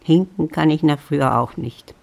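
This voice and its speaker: An elderly widow with a low, creaky voice. She muses to herself in a wry, grumbling way, lonely and set in her ways, as in a literary radio play. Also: normal speed, darkish tone. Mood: wry, brooding. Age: older. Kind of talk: German literary radio drama acting.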